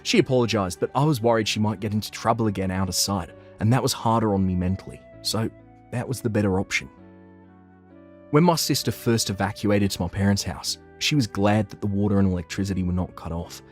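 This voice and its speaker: An earnest young office worker tells his own story in a manga dub voiced by a man. His voice is low, with an anxious edge to the narration. Soft music plays behind him. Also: natural voice.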